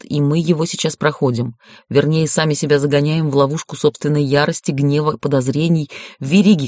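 A man voicing a calm, commanding yet tender raven lord in an audiobook, speaking in a hushed, soothing, measured way. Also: fairly high pitch, no echo, studio quality, natural voice.

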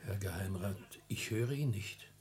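An actor playing a humble, deferential manservant in a classic radio drama, subdued and gravelly. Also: male voice, deep voice, unhurried, a little mellow. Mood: hesitant, deferential. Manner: subdued, respectful. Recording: old noisy radio recording with hum.

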